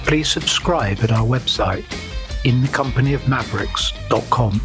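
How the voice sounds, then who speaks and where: A man's voice: mid-range voice, normal speed, music in the background. A man hosting a podcast interview, asking questions in a friendly way and thanking his guest. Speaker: podcast host.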